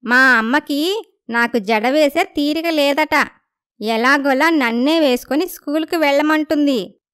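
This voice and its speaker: A woman's voice: sits high, unhurried, a bit bright. A woman playing a young village schoolgirl with a plaintive, complaining manner in a moral story.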